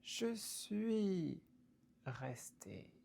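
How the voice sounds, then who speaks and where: A woman reciting poetry theatrically, deliberately, in short halting phrases that sometimes fall away toward a whisper. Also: medium pitch, very animated, vocal fry, dark tone, slight background noise.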